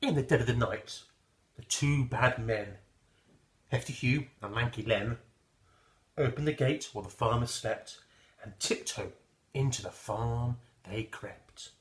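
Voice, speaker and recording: Casting: man as children's storyteller, theatrical and clear in explaining, slow, in an echoing room. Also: medium pitch. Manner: theatrical, explains things simply for small children. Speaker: storybook reader. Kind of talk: expressive children's story narration.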